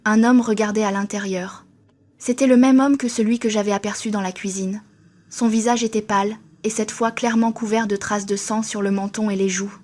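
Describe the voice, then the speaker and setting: A woman reading a first-person horror story in a steady, even storytelling manner. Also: mid-range voice, a little fast. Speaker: narrator.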